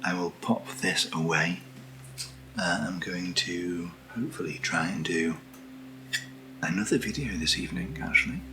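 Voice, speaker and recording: A British man speaking softly and breathily in casual ASMR style, unhurried and gently rambling as he hosts. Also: low voice, fairly even intonation, slight background noise. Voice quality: breathy, whispery. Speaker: host of ASMR unboxing videos. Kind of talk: soft-spoken ASMR, casual chat. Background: underlying music.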